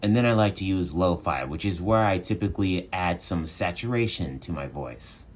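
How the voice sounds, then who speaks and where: A young man with a bassy voice, casually walking through his home production setup with lots of fillers. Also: normal speed. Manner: relaxed, chatty, many fillers. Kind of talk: casual tutorial walkthrough. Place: small home studio room.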